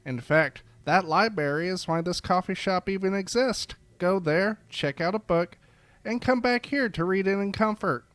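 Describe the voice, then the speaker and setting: A man voicing a cheerful cat-eared barista creature with a bright, chirpy manner. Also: high voice, normal speed, no echo, voice pushed up.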